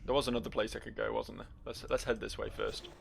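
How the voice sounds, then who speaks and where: A man's voice: on the low side, level tone, fast talker, creaky, slight background noise. A man giving casual, wry game commentary, thinking aloud and reading in-game text. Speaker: gameplay host.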